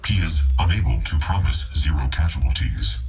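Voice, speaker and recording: A man voicing a deadpan robotic translator AI that speaks for a hamster pilot in a video game, stilted and literal. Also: extremely low pitch, normal speed, gravelly, far from the mic, soft background music, exaggerated voice. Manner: deadpan, stilted, literal, third-person announcing.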